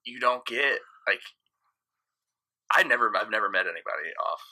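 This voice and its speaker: A man's voice: on the low side, animated, normal speed, bright, forceful tone. A man in his late thirties, a DJ guest in casual podcast chat, talking frankly and rambling, often trailing off and restarting. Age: 30s.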